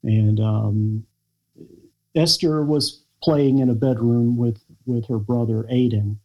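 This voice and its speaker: An American man, a podcast guest, telling a personal family story in a halting, reflective way with many pauses and fillers. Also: medium pitch, fairly even intonation, muffled recording. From United States. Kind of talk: podcast interview conversation.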